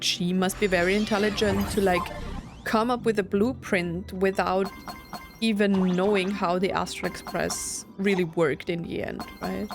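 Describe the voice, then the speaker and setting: Woman, casual game streamer, mid-range pitch, thinking aloud, chatty, clear music behind. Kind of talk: casual gameplay commentary.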